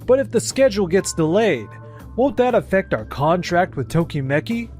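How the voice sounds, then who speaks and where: A man voicing a stern, measured male superior in a comic dub, deliberate, pointed and reproving. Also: high-pitched, big pitch swings, a little mellow, faint music behind. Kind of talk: scripted manga dub voice acting.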